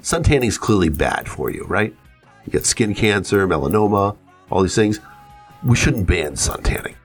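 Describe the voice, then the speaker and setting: A man's voice: lowish voice, animated, normal speed, faint music behind. A man hosting an opinionated radio talk show, making his points with repetition and rhetorical questions.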